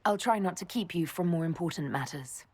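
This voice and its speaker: An actress playing a tactful, steady leader of a military order in a fantasy game, polite and measured. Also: female voice, fairly low pitch, slightly rough. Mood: diplomatic. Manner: polite, even-tempered, measured.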